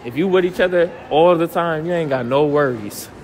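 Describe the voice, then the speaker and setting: A man's voice: on the high side, fairly quick, slight background noise, poor audio. A young man hosting casual street interviews outdoors, joking and teasing in slangy talk.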